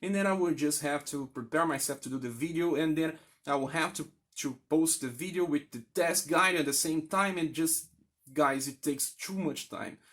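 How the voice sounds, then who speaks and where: A man from Brazil, a native Brazilian Portuguese speaker with a Brazilian accent, speaking candidly and haltingly as a gaming video creator addressing viewers. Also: fairly high pitch, fairly bright tone. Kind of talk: candid, unscripted appeal to channel viewers.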